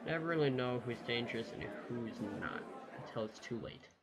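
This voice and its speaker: A man's voice: mid-range voice, fairly quick, loud music behind the voice. A man doing a game let's play, commenting casually and reading the on-screen dialogue aloud. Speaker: gaming YouTuber.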